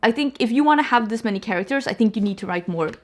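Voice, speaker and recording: A woman's voice: on the high side, animated, very fast. A young woman, talking casually to camera as she reviews books, with lots of fillers and self-corrections. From Sweden. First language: Swedish. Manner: chatty, filler-heavy, frequent restarts and "like". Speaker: book reviewer. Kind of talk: casual book review chat.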